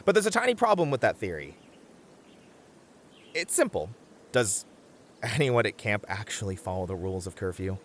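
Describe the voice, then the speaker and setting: A man's voice: on the low side, very animated, normal speed, long pauses, a little hiss or hum. A man playing a muscular, sensible but easily flustered bunny-man camper in a comedic audio roleplay, hesitant and wry as he reasons aloud. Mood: explaining.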